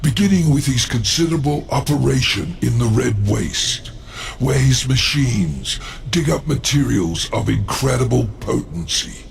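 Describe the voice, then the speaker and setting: Gravelly, imperious demon lord. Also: male voice, expressive, heavily acted. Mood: calculating, menacing. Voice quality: gravelly, low. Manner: imperious, deliberate, silky menace. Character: scheming proud demon lord, menacing and commanding. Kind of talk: video game cutscene acting.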